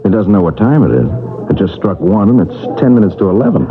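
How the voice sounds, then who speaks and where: An actor playing an easygoing, teasing suburban husband in 1970s radio drama style. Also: male voice, lowish voice, big pitch swings, fast talker, music in the background. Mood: casual, teasing. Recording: muffled old radio broadcast recording.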